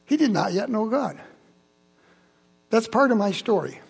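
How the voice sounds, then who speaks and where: A man's voice: high voice, animated, measured pace, vocal fry, slightly rough. A man in his seventies, from Holland, Michigan, telling Bible and childhood stories to a congregation in a chatty, conversational way with asides to the listeners. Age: 70s. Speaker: church speaker. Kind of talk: personal sermon storytelling.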